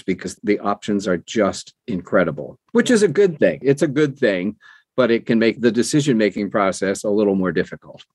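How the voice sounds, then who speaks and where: A man's voice: mid-range voice, a little mellow, no echo. A man in his sixties talking conversationally as an interview guest, in a folksy way with phrases repeated for emphasis. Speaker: interview guest, audiobook narrator.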